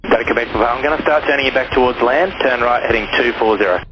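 A man working as an air traffic controller, giving measured, procedural instructions over aviation radio. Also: medium pitch. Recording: muffled lo-fi aviation radio, music behind.

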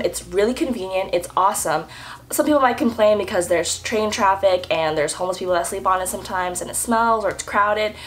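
A young woman in her twenties talks straight to the camera in a chatty, upbeat explainer vlog. Her voice sounds a little rough and off from a recent flu. She is recorded indoors on a camera at chest height. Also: medium pitch, a little hiss or hum. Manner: chatty, upbeat, lively hand gestures. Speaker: vlogger. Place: indoor room with french doors.

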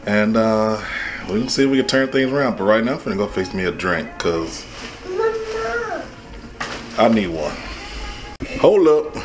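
A man in his thirties with a hoarse voice, vlogging casually to camera on a cruise, apologetic and rueful. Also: lowish voice, animated, a little slow, pauses often. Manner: apologetic, rueful, then joking. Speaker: travel vlogger. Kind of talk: casual vlog chat. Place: cruise ship restaurant and corridors. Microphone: handheld camera at arm's length. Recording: noisy crowd and loud music behind.

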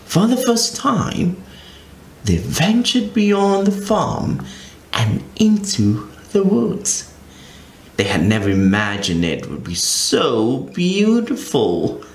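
A man reading a children's picture book aloud as a storyteller, in an expressive, very animated way. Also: on the high side, takes it slowly. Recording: echoey room with faint ambient noise.

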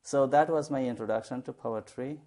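A man from Bangladesh, an English professor and poet, answering questions in a TV studio interview in a measured, thoughtful way with many fillers. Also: medium pitch, warm tone. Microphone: lapel.

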